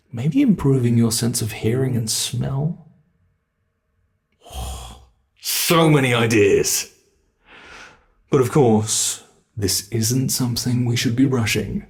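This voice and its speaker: A British man voicing a sadistic male monster who reshapes his captive prey, in an ASMR horror roleplay, speaking slowly and calmly with a gloating tone that sinks to whispers, close to the mic. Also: mid-range voice, vocal fry. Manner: slow, calm, gloating, drops to whispers.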